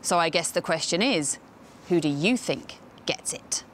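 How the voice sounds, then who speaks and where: A woman's voice: fairly low pitch, animated, measured pace, very noisy. An actress in her thirties playing a wry, knowing presenter who speaks scripted lines with arch irony and pointed rhetorical questions. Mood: ironic, teasing. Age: 30s. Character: wry, knowing woman presenter with dark humour. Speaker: on-screen presenter of a safety training film. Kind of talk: scripted dramatic narration to camera. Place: outdoors on a railway platform and track.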